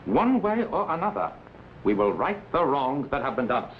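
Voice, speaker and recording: Actor playing scheming warlord, swooping stilted delivery. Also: male voice, medium pitch, very animated, normal speed, close to an everyday voice. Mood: resentful, determined. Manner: stilted, formal broken English. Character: resentful, scheming Chinese warlord, proud and formal. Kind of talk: vintage serial melodrama. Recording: dull, faintly noisy old broadcast.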